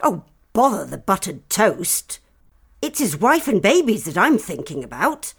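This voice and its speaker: Storyteller as a swooping, husky, brisk aunt. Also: mid-range voice, big pitch swings, a bit bright, pitched above the performer's own voice, full character voice. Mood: tender, impatient. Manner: brisk, exclamatory, old-fashioned. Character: brisk, kindly, decisive grown-up aunt, excitable and proper. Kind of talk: children's storybook character voice.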